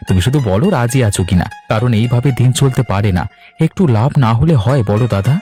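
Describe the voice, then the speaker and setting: A man's voice: medium pitch, fast, dark tone, lightly acted. A man playing an enterprising, eager younger farmer brother who is respectful to his elder, in a cartoon. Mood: persuasive. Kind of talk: cartoon dialogue. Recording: music under the voice. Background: instrumental music.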